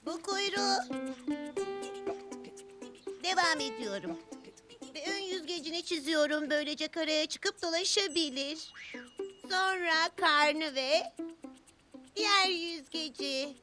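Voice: very high-pitched, takes it slowly, pauses often. An actor voicing a young, cheerful, polite cartoon character who explains things step by step in a children's show. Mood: cheerful explaining. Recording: music playing behind the voice.

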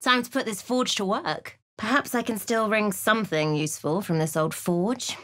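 Actress doing moody watchful fantasy game adventurer lady, measured n hushed storytelling, dramatic, very dry recording. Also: mid-range voice, dry recording, natural voice. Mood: wry, appraising. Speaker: video game voice actor. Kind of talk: dramatic narrated game voice lines.

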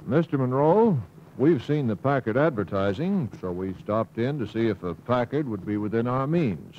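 A man's voice: medium pitch, animated, moderate pace, close to an everyday voice. An actor playing a polite, earnest family man shopping for a car in a 1950s industrial film. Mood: polite, hopeful. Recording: muffled, hissy 1950s film soundtrack.

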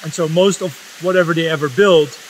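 A man's voice: fairly high pitch, a little fast, slight background noise, low-quality recording. A man in his fifties from the Netherlands, with Dutch as his first language, giving a solemn, impassioned spoken essay outdoors in a forest. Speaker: video essay host. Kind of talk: earnest spoken essay to camera.

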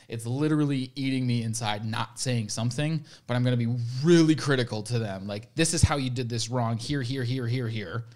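A man in his thirties co-hosting a veterinary podcast, explaining his points reflectively with frequent restarts. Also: mid-range voice. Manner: gestures while talking. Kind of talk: casual podcast discussion. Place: home room, indoors. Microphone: studio mic on boom arm, headphones.

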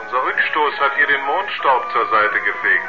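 An actor playing a puzzled, sceptical astronaut on the moon in a 1970s German radio play. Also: male voice, medium pitch, expressive, normal speed, over music, close to an everyday voice. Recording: muffled 1970s radio recording.

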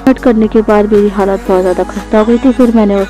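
A woman's voice: mid-range voice, fairly even intonation, fast talker. A woman, giving a casual, chatty voice-over for a daily vlog. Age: 20s. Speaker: vlogger. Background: faint music.

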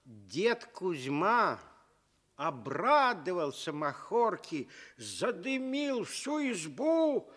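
A man narrating a scripted fairy tale as an expressive storyteller. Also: high voice, drawn-out words.

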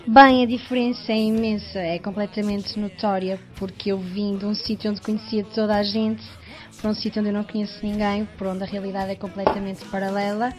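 A woman's voice: medium pitch, little pitch movement, brisk, over music. A young adult woman with a Portuguese accent, a student giving a spontaneous vox pop answer.

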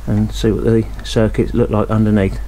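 Mellow-voiced man thinking aloud in workshop. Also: on the low side, level tone, moderate pace, a little hiss or hum, poor audio. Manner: hesitant, thinking aloud with many ums. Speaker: radio restorer. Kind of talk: casual hands-on narration. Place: cluttered home workshop, indoors.

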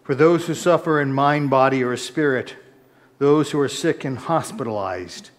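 Solemn middle-aged pastor leading prayers. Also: male voice, mid-range voice. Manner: measured, solemn intoning, rising in the preface. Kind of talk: liturgical prayer and chanted-style preface. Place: church sanctuary, indoors, large. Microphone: far camera, altar mic.

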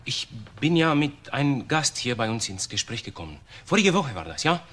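An actor playing a nervous, evasive waiter in a crime drama, talking in a halting way. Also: male voice, mid-range voice, big pitch swings, fairly bright tone. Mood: nervous, explaining. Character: evasive, nervous male waiter questioned by police.

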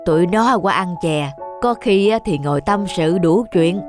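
A woman's voice: lowish voice, expressive, measured pace. A woman voicing a humble, affectionate elderly street dessert seller in a cartoon, chatty and homely. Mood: chatty, cheerful. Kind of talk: cartoon voice acting. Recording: background music under dialogue.

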